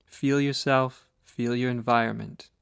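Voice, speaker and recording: An American man teaches meditation in a clear, smooth voice at a medium pitch. He speaks very slowly and deliberately, setting down short phrases with pauses between them. The recording is dry, with little room sound. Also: steady tone, a bit bright, no room sound. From United States. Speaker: spiritual teacher leading guided meditation. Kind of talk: guided meditation instruction.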